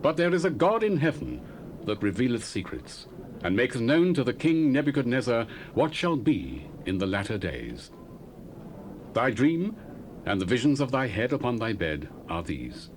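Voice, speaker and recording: A man's voice: mid-range voice, sing-song, normal speed, slight background noise, close to an everyday voice. An actor playing a young male prophet, speaking in solemn, formal, old-fashioned declamation in a dramatized scripture reading.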